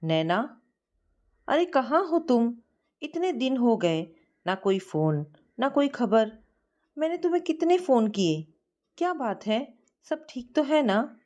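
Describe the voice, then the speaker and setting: A woman's voice: on the high side, big pitch swings, slow, pauses often, a little mellow. A woman voicing an anxious, pleading young woman in love in an audio storybook. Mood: worried.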